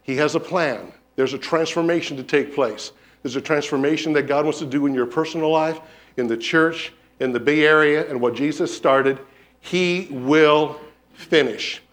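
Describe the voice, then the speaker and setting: A man's voice: fairly high pitch, normal speed. A man preaching a sermon in a church, telling stories and acting out what people said. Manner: animated storyteller, acts out quotes. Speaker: pastor. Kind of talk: sermon with storytelling. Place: church sanctuary.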